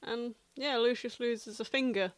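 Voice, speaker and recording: A woman's voice: a little high, measured pace, dry recording. A woman co-hosting a podcast, chatting casually with jokey, sweary banter. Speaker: podcast co-host. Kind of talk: casual banter about a TV show.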